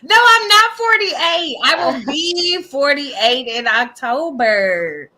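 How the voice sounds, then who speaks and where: A woman in her forties co-hosting a casual conversation, with a bright, high voice. She talks slowly and chattily, with frequent laughter. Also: animated, a little hiss or hum. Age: 40s. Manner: warm, chatty, laughs often. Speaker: co-host. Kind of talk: casual chat.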